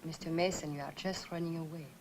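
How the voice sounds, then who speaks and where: A woman's voice: low voice, fairly even intonation, fast, noisy background, close to an everyday voice. An actress in a 1960s film drama playing a stubborn, principled woman with a composed, reproachful manner. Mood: reproachful, firm. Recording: old film soundtrack with music.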